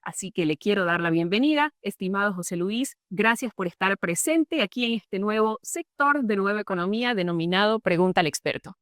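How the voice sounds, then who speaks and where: A woman's voice: medium pitch, lively intonation, a little fast, hardly any pauses, dry recording, clean studio sound. A woman hosting an interview, speaking in a polite, formal manner as she introduces and questions her guest. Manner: polite, formal, warm-toned welcome. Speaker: interviewer, host.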